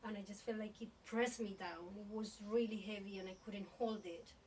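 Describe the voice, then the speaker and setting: A woman's voice: medium pitch, steady tone, moderate pace, darkish tone. Distant-miked woman. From born in Colombia, grew up in Spain. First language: Spanish. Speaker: podcast host. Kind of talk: reflective interview conversation. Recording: distant mic, faint background noise.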